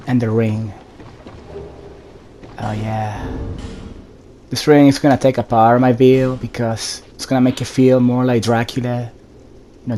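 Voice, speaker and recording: A man's voice: medium pitch, dark tone, a little hiss or hum. A man giving casual solo gameplay commentary, addressing viewers directly with instructions as he plays. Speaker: gaming video host.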